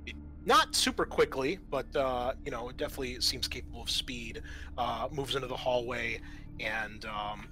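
Guy game mastering a tabletop rpg, bright edgy voice, casual halting narration. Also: mid-range voice, a little hiss or hum. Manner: hesitant, improvised, informal descriptions.

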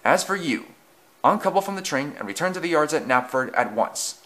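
A man's voice: on the high side, animated, brisk, fairly bright tone, pitched above the performer's own voice. Man as a firm, formal station master. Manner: firm, public announcing.

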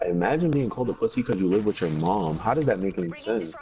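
A man's voice: sits low, lively intonation, quick pace, warm tone, dull audio, slight background noise. A young man trash-talking over game voice chat, taunting and mocking with repeated jabs. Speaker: gaming YouTuber.

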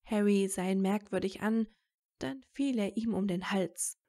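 A woman's voice: medium pitch, measured pace, dark tone. A woman reading scripted narration as the narrator of a fan fiction story.

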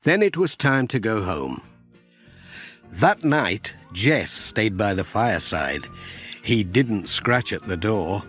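Slow, lively male children's storyteller. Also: fairly low pitch, faint music behind. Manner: expressive storytelling delivery. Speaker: audiobook narrator. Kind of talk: scripted children's story narration.

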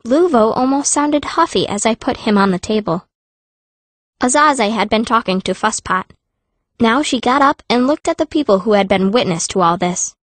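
A woman's voice: a little high, expressive, normal speed, no room sound, natural voice. An actress voicing a fierce, blunt young girl mage who narrates an audiobook in the first person. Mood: narrating. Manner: blunt, youthful.

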